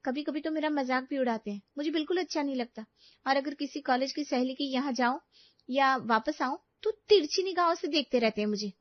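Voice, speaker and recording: A woman's voice: high voice, fairly quick, pauses often, highs cut off, voice pushed up, lightly acted. A woman voicing a touchy, indignant young college girl in a cartoon story, with a pouty, aggrieved manner.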